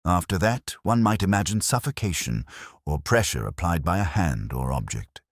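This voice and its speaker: A man voices a blunt male police doctor in a mystery story. The speech is clipped and clinical, with wide swings in pitch and a husky touch. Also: lively intonation, moderate pace, no room sound, clean studio sound. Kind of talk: mystery audiobook character reading.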